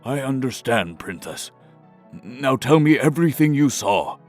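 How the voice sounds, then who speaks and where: Archmage male actor for fantasy audio drama: mid-pitched, deferential, grave, hesitant, faint music underneath. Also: expressive, unhurried, lightly acted. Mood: concerned. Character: earnest male archmage, deferential royal adviser.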